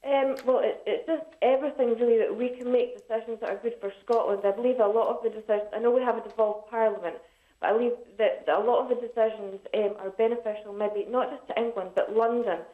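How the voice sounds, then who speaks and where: A woman taking part in a radio debate and making her points in a measured, earnest way. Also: fairly high pitch, steady tone. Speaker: debate guest. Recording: tinny echoey line.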